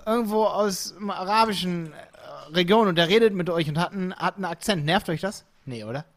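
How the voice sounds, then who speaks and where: A young man chatting casually as a podcast co-host, lively and playfully acting out quotes in other voices. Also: high voice, fast talker. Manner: animated, acts out quotes with funny voices. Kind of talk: casual chat.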